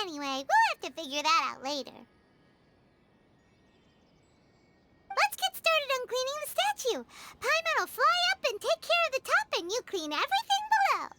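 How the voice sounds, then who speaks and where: A woman voicing a tiny, childlike floating fairy companion in an anime-style video game, chirpy, bubbly and excitable. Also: extremely high pitch. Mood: pondering. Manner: refers to self in third person, bubbly and exclamatory.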